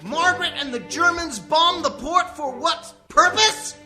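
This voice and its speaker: Woman acting a shrill, blustering frat boy. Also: lively intonation, a little slow, pushed and bright, soft background music, exaggerated voice. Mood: rousing, indignant. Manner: ranting, declamatory. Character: drunken, blustering male frat misfit rallying his friends. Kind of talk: amateur radio comedy acting.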